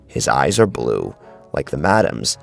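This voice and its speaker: A man with a gravelly voice narrating a horror story in a dramatic, scripted style. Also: rumbling low, big pitch swings, a little slow. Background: clear music.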